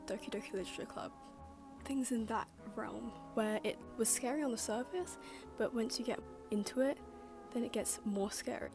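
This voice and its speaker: A young woman giving casual, rambling commentary in an informal, self-deprecating, loosely structured way. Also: medium pitch. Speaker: YouTube video essayist. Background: background music.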